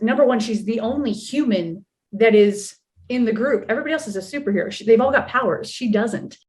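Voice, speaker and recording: A woman's voice: fairly high pitch, darkish tone. A woman interview guest talking casually and reflectively, with thoughtful emphasis on key words.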